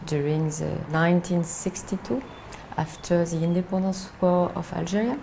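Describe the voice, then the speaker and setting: A middle-aged woman from France with a French accent, speaking English as a second language in a reflective interview, halting and searching for words. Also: fairly low pitch, fairly even intonation, creaky, dark tone, a little hiss or hum. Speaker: documentary interviewee.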